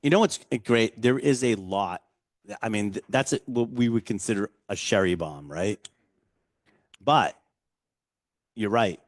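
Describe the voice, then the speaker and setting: A man's voice: lowish voice, unhurried, pauses often, dry recording, studio quality. A man hosting a casual whiskey tasting, chatty and rambling with jokes. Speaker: host.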